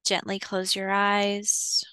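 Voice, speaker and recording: Woman doing guided meditation, slow n soothing, smooth clean voice, super dry close sound. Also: mid-range voice, monotone, sharp tone, no room sound. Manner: soothing, instructional, pausing between short phrases. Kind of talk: guided visualization, meditation narration.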